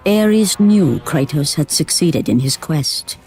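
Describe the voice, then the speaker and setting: An actress playing a grave, portentous storyteller, giving scripted dramatic narration for a video game. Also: female voice, sits low, expressive, slow pace, dark tone, soft background music. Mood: solemn. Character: grave, solemn omniscient storyteller of Greek tragedy.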